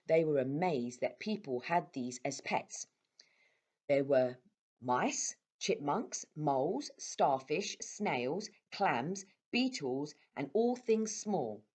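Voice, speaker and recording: A woman reading a children's story aloud as a storyteller, with expressive delivery. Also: sits low, slow pace. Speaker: storyteller at a primary school.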